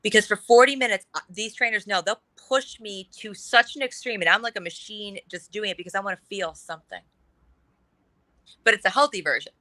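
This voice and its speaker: Bright, candid middle-aged woman. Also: mid-range voice, fairly quick, no room sound, poor audio. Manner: candid, emphatic, talks in long run-on stretches. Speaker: guest. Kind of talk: casual conversation on a talk show.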